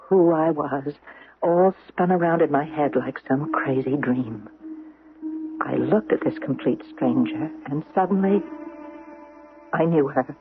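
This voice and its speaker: A woman playing an earnest, strong-willed woman of ancient times in a theatrical radio drama style. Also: sits low. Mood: bewildered. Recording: muffled, band-limited old radio recording.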